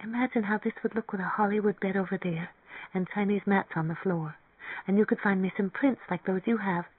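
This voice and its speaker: A narrator voicing an eager, headstrong, impulsive young woman in an audiobook, emphatic and excitable. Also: medium pitch, a little fast, pitched above the performer's own voice, lightly acted. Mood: dreamy, wistful. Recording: thin, phone-like band-limited audio.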